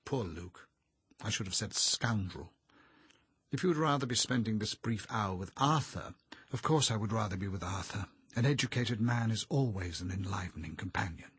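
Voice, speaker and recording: A man's voice: fairly low pitch, expressive, moderate pace, fairly bright tone, husky, no room sound, pitched above the performer's own voice, exaggerated voice. A man voicing a witty, teasing young woman with polished, arch politeness in an audiobook. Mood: mocking.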